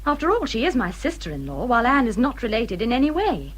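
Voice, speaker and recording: An English actress playing a self-important young wife in a period radio drama, with a whining, indignant manner. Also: female voice, a little high, sing-song, fast. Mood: affronted.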